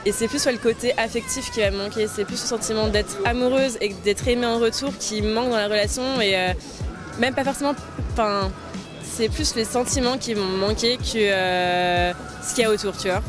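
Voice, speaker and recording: A young woman answering a street interviewer on a busy city sidewalk, frank and thoughtful with pauses, into a handheld mic. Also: on the high side, music in the background. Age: young adult. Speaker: passer-by interviewee. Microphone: handheld mic held by interviewer.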